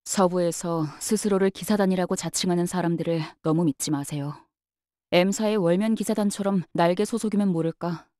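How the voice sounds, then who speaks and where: A woman voicing a brisk young female knight-bodyguard in a game, polite but curt, with a faintly sardonic, matter-of-fact delivery. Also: lowish voice, warm tone, no room sound, clean studio sound, close to an everyday voice. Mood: confident, wry. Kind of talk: scripted game character voice lines.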